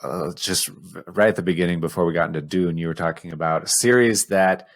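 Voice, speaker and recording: Deep-voiced man in casual chat. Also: fast talker. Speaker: podcast co-host. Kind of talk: casual film and game chat.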